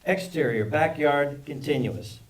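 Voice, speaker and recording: A man's voice: a little high, animated, unhurried. A man reading scripted stage directions as narrator of a screenplay table read, recorded from a distance. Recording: distant microphone in live reading.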